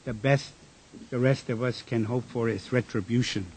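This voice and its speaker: Man acting husky, bitter, disillusioned engineer. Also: mid-range voice, fairly even intonation, moderate pace, a little mellow, low-quality recording. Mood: bitter, indignant. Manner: weary, declamatory, rhetorical. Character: Francis, bitter, disillusioned male engineer worn down by fighting. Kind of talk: radio drama acting.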